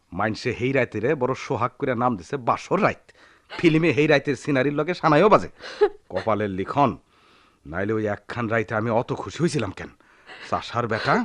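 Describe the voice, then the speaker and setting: An actor playing a poor sharecropper husband, tender but hot-tempered, speaking rural Bangladeshi dialect in a theatrical radio drama manner. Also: male voice, mid-range voice, no room sound, natural voice. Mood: bitter. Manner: theatrical, swinging from murmurs to shouts.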